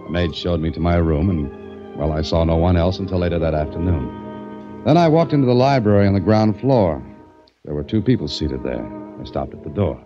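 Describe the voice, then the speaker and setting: An actor playing a wisecracking private investigator who narrates in a 1940s radio drama, with a dry, sardonic manner. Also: male voice, deep voice, lively intonation, normal speed. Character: wry, wisecracking adult male private investigator. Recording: muffled old radio broadcast with faint music.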